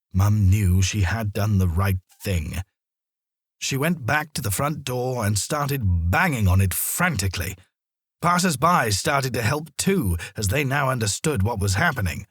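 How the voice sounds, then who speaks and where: Lively male storyteller with a husky edge. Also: mid-range voice, no room sound, clean studio sound. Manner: dramatic, expressive storytelling. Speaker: narrator. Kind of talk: children's story narration.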